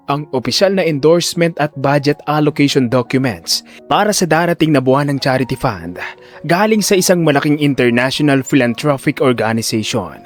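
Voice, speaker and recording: A man's voice: mid-range voice, lightly acted. A man playing a smug older hospital director in an audio drama, avuncular and self-important, putting on benevolence. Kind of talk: audio drama villain dialogue.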